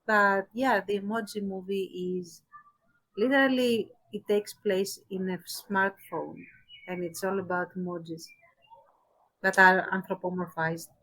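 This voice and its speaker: A woman's voice: medium pitch, steady tone, a little slow, a bit bright, poor audio. A woman co-hosting a podcast, chatting casually in a dry, understated way. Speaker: podcast co-host. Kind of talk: casual chat.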